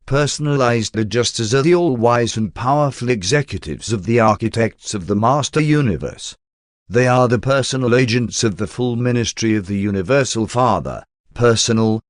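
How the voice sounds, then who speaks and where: A man narrates in a formal, measured way, like an audiobook of scripture. His pitch sits in a middle range, and the sound is dry with no room around it. The delivery is solemn and carefully scripted. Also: no echo. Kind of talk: scripted narration of a spiritual text.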